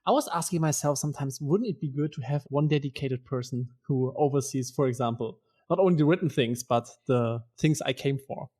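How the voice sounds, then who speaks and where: A man's voice: medium pitch, fairly quick, hardly any pauses, mellow. A man co-hosting a podcast interview who talks casually, agreeing often and chuckling. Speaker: podcast co-host.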